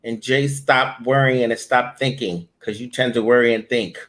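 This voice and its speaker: A man's voice: medium pitch, steady tone, normal speed, a bit bright. A man, a voiceover director and coach, giving blunt tough-love advice as an interview guest.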